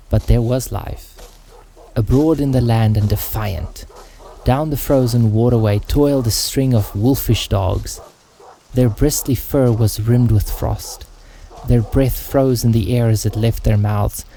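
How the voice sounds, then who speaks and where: Dark, gravelly male audiobook narrator. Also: medium pitch, poor audio. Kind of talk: scripted dramatic fiction narration.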